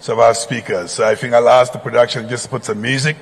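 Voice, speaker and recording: A man's voice: medium pitch, fairly even intonation, fast, creaky, breathy edge. A man from Senegal hosts a conference session from the stage, formal and polite. He keeps things moving when plans change. His mid-range voice rings out with a long reverb from the big hall. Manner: formal, courteous, improvising through delays. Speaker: moderator.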